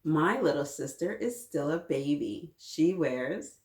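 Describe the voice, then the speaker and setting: A woman's voice: low voice, lively intonation, takes it slowly, a little mellow. A woman in her thirties hosting a children's story time, reading aloud and encouraging the children with many questions. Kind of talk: read-aloud storytelling with children, chatting along. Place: small children's playroom, indoors. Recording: roomy echo, mic at a little distance.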